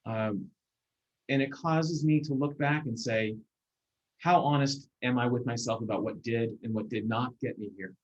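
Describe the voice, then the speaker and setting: Husky man on a muffled call. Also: mid-range voice. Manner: earnest, measured, many fillers and restarts. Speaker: guest speaker. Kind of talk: video call lecture and Q&A. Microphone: video call. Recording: muffled video call audio.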